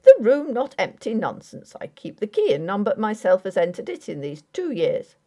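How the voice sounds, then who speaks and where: A woman's voice: medium pitch, sing-song, moderate pace, dark tone. A woman voicing an earnest but impatient Victorian husband in an audiobook, formal, placating and patronizing. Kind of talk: dramatic audiobook character acting.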